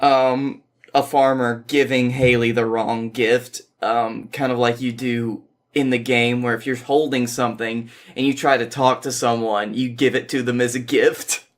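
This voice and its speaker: A man's voice: mid-range voice, little pitch movement, measured pace. A man guesting on a podcast, talking casually and reading a story aloud, with an informal, self-deprecating way about him.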